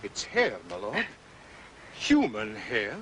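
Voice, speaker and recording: An actor playing a brusque police inspector with a Scottish accent in a period detective drama, emphatic and businesslike. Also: male voice, on the low side, huge pitch swings, takes it slowly, a bit bright, slight background noise, exaggerated voice, low-quality recording. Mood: sharp, emphatic.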